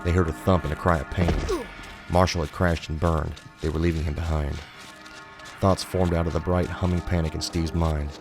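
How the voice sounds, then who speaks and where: Very deep male horror narrator. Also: level tone, normal speed, over music. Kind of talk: scripted narration, suspense fiction.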